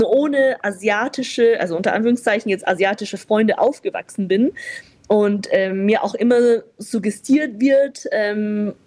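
A woman's voice: mid-range voice, darkish tone. A young woman born in China, speaking as a guest in a candid conversation, reflective and open, with frequent fillers and easy laughter.

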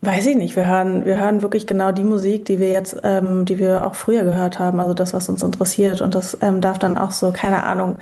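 A woman in her thirties from Berlin, a guest talking in a relaxed, reflective way with many pauses and fillers. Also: medium pitch. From Berlin, Germany. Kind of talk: casual interview chat.

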